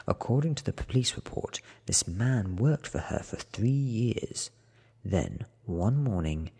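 A man in his thirties reading a book aloud in a soft, half-whispered ASMR style, with hushed, deliberate phrasing close to the mic. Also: deep voice, gravelly, husky. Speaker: audiobook reader.

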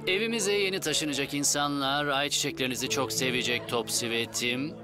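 A man's voice: medium pitch, fairly quick, over music, lightly acted. An actor playing a jolly, encouraging father of young twins in a dubbed children's drama. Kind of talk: Turkish dub of children's live-action show.

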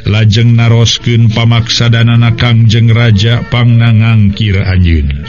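A man's voice: mid-range voice, fairly even intonation, a little slow, darkish tone. A man with a Sundanese accent narrating a radio folktale as storyteller, with chatty asides. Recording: dull lo-fi, music behind. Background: music.

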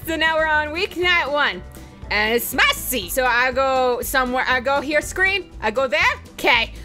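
Bright, high-voiced woman recapping a game. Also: lively intonation, moderate pace, soft background music. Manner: chatty, sweary, with playful mock voices for quotes. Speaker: let's play host. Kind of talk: casual, joking scripted-game recap narration.

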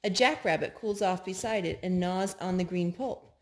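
A woman in her thirties reading picture books aloud to young children at home, in an animated, child-directed way with drawn-out words. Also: fairly low pitch. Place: living room at home, indoors. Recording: home recording with background noise.

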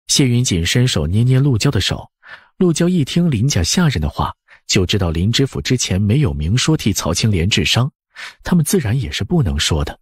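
一位男性有声书播讲人，用富有表现力的方式照稿讲述故事。. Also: male voice, medium pitch, big pitch swings, brisk, breathy edge, no room sound, clean studio sound. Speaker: audiobook narrator. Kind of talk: expressive scripted story narration.

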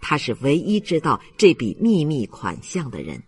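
A man's voice: on the high side, wildly swooping pitch, moderate pace, darkish tone, breathy edge, no echo. A man narrating a literary audiobook in a dramatic storytelling style.

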